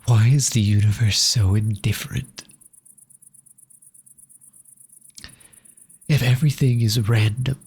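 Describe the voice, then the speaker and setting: Casting: male actor for lonely, tender orphan in ASMR roleplay; very husky, near-whispered delivery, slow and intimate, heavy with grief. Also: mid-range voice, darkish tone, full character voice. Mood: anguished, pleading. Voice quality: breathy, often dropping into whisper. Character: grieving, tender lonely orphan comforting a sick pet cat. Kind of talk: soft ASMR roleplay acting.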